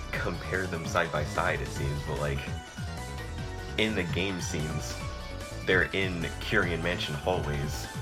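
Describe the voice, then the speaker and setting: A young man with an extremely deep voice reviews a film in a loose, exasperated chat. He pads his talk with fillers and short laughs. Music plays clearly behind him. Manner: chatty, exasperated, filler-heavy with frequent laughs. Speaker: video reviewer. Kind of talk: casual movie review commentary.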